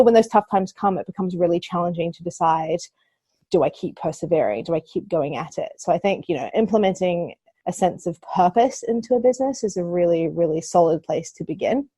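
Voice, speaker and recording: A woman's voice: on the low side, mellow, breathy edge, no echo. A woman from New Zealand with a New Zealand accent, a marketing agency owner talking earnestly as a podcast guest and thinking aloud with fillers. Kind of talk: podcast interview.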